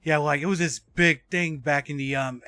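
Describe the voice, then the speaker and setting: Bright-voiced man in his forties, streaming. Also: fairly high pitch, steady tone, moderate pace, no room sound. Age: 40s. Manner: casual, swears freely. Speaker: video game streamer. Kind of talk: casual live gameplay commentary, chatting with viewers.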